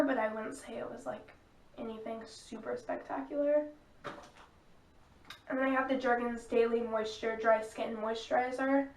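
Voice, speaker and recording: A woman's voice: on the high side, creaky, roomy sound, recorded from a distance, low-quality recording. Sniffly young woman, chatty and frank. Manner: chatty, frank, drops into asides. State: stuffy, sniffly from a cold. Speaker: beauty vlogger. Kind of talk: casual product review chat.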